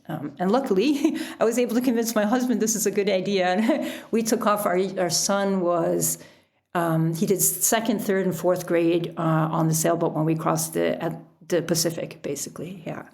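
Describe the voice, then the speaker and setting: A woman's voice: lowish voice, fairly even intonation, a little fast, gravelly, a little mellow. A middle-aged American woman talking conversationally as an interview guest, explaining things with frequent "you know" asides. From United States. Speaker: podcast guest, author and sailor.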